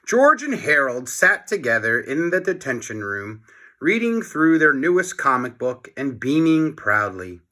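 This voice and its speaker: A man reading a story aloud to children in an expressive way, speaking directly to his young listeners. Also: medium pitch, measured pace. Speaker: children's book reader, teacher.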